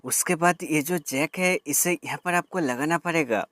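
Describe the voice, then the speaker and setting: Higher-pitched man giving tutorial over music. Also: normal speed, fairly bright tone. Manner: instructional, addresses viewers as friends, mixes in English words. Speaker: tech reviewer giving an installation tutorial. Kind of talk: step-by-step product tutorial voice-over.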